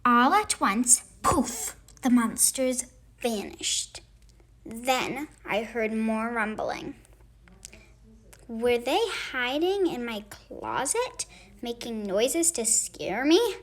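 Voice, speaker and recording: A woman narrates a children's picture book aloud. Her voice is fairly high and very lively in pitch, and she goes very slowly, shaping phrases for drama. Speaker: storybook reader.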